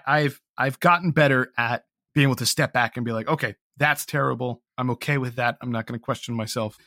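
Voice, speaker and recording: Man, medium pitch, dry close sound, casual reflective interview answers, earnest, halting, self-deprecating. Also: a bit bright, no room sound. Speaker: interview guest, audio drama writer.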